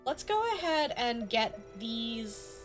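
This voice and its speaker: High-voiced chatty woman streamer over music. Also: expressive, slow. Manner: chatty, playful, addressing viewers directly. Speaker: live game streamer. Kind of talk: casual chat to stream viewers during gameplay. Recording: background music behind voice.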